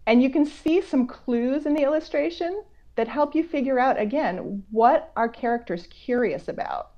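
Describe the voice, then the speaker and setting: A woman author reading a picture book aloud to children, going between slow measured reading and conversational explanatory asides. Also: high-pitched, expressive. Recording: dull, lo-fi online call audio.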